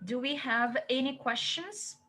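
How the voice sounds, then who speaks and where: A woman interpreting a company update into English, relaying questions and answers in short, halting chunks. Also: sits high, bright, forceful tone.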